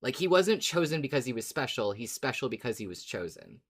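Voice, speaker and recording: A podcast co-host chatting casually, theorizing out loud in an informal, wry way with some swearing. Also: mid-range voice, very animated, a little fast, slightly rough. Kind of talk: casual fan discussion podcast.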